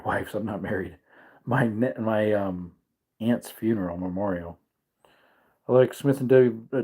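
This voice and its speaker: A man in his thirties hosting a casual livestream from his home man cave, rambling and reading out viewer comments. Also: mellow. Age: 30s. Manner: high baby-talk when calling his dog. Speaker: livestream host.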